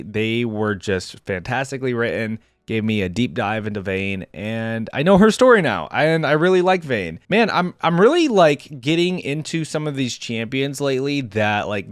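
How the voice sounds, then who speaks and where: A man's voice: medium pitch, clean tone, no room sound. Man, reaction host, casual commentary, enthusiastic fan talk, dry recording.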